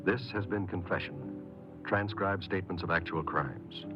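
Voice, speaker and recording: A man's voice: low voice, normal speed. A man announcing in a formal, scripted 1950s radio style. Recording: muffled 1950s radio broadcast recording. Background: music.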